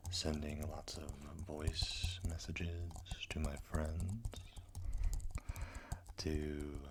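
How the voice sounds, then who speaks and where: A young adult ASMR creator, sick with a sore throat, whispering slowly in a deep, raspy voice into a close microphone at home. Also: little pitch movement, noisy background. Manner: soft, slow, breathy whispering. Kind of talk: slow whispered ASMR chat.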